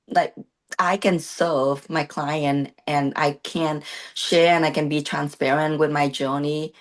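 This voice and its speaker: A woman in her thirties with a Vietnamese accent, a coach and competitor speaking earnestly in a casual interview, often pausing with fillers and restarting sentences. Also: low voice. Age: 30s. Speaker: guest, bodybuilding competitor and coach.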